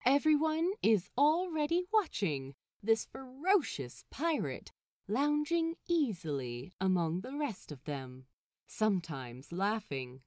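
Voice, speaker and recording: Female audiobook reader, wry and playful, hugely varied intonation, measured pace, dry close recording. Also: fairly high pitch, a little mellow, no echo. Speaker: audiobook narrator. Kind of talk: expressive fan fiction narration.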